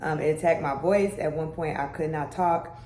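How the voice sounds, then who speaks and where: Young woman vlogger, low deep voice, conversational and chatty, recorded in an echoey room. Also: normal speed, darkish tone. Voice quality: deeper voice. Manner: chatty, conversational, with "y'all" and "baby" asides. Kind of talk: casual health vlog. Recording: echoey room with faint background hum.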